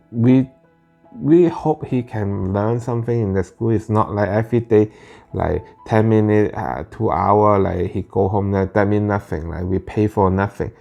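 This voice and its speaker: A man with Cantonese as his first language speaks slowly in halting English, with restarts along the way. His voice is gravelly and mellow, at an ordinary pitch. Soft guitar music plays faintly underneath. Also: lo-fi sound. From Northern California Bay Area. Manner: halting, frequent "like" and restarts, plain non-native phrasing. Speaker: parent vlogger. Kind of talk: casual personal storytelling.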